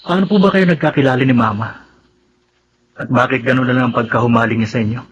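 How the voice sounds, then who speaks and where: A man's voice: mid-range voice, quick pace, close to an everyday voice. A man with a Filipino accent playing a polite, curious young man in a radio drama, speaking respectfully and tentatively. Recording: dull lo-fi audio, faint noise.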